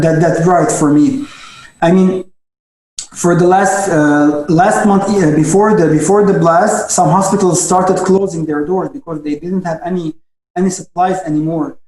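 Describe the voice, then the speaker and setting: A young doctor from Lebanon talks over a video call, his voice sitting high. He stops and restarts his sentences often. The sound comes from an echoey room. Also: a little mellow. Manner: halting, frequent restarts and stammers. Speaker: guest doctor. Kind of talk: webinar interview answers.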